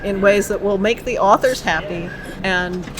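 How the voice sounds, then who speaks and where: A woman's voice: medium pitch, measured pace. Woman interviewer over noisy surroundings. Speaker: guest interviewer. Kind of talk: conversational interview. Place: live convention, busy surroundings. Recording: noisy live convention recording.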